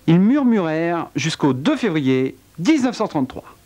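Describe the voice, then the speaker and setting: A man telling a dark true-crime tale in a wry, theatrical way, with a cold. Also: sing-song, normal speed. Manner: wry, theatrical storytelling with big pitch swings. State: has a cold. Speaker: narrator. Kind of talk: dramatic spoken narration.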